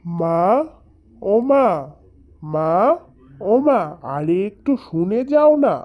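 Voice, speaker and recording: A woman's voice: on the low side, very animated, very slow, full character voice. A woman voicing an earnest, affectionate young man, a dutiful son in a cartoon, in dull audio. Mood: calling out.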